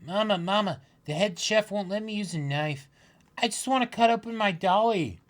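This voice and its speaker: A man's voice: sits high, moderate pace, pitched above the performer's own voice, natural voice. A man voicing a whiny, bloodthirsty little child who pleads and tattles in a comic reading. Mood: whiny, pleading.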